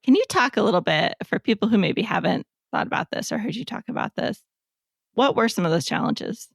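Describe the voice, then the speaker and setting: A woman's voice: mid-range voice, lively intonation, fairly quick, creaky, breathy edge, no room sound, studio quality. A woman hosting an interview podcast, asking questions in a conversational way.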